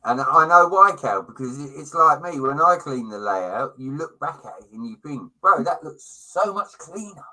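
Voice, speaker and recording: A man's voice: on the high side, expressive, moderate pace, few pauses, bright, forceful tone, rough recording. A middle-aged British man in casual livestream chat, talking in a chummy, opinionated way with slang and swearing. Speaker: livestream co-host.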